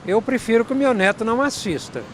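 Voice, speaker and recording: Calm Brazilian grandfather, high voice. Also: quick pace, slight background noise. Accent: Brazilian Portuguese. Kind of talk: street interview answer.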